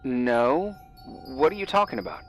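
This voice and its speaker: A man's voice: mid-range voice, lively intonation, unhurried, a bit bright, soft background music, poor audio. An actor playing an awkward, earnest young man in a video game, speaking hesitantly and helpfully as a voice on a phone. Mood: gentle concern. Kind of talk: naturalistic video game voice acting.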